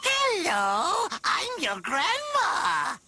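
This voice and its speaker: An actor voicing a crazed fake grandma in a cartoon, drawn-out and overwrought. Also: very high-pitched, huge pitch swings, gravelly, bright, forceful tone, exaggerated voice. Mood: coaxing. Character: crazed fake grandma with huge eyes and teeth. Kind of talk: cartoon voice acting. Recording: music under the voice.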